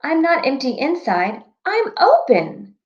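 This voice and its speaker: Lady reading as a wistful hopeful girl number from a kids book, highish voice, super up and down, muffled sound. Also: wildly swooping pitch, slow, full character voice.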